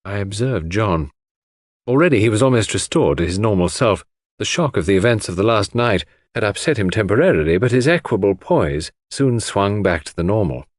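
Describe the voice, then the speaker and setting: A man's voice: lowish voice, expressive, moderate pace, no echo, studio quality. A man reading scripted literary fiction as a dramatic audiobook narrator.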